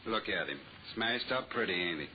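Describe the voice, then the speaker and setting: An actor playing a chatty, slangy city morgue attendant in a vintage radio drama, casual and folksy. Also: male voice, low voice, animated, moderate pace. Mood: chatty, offhand. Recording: muffled vintage radio recording, faint noise.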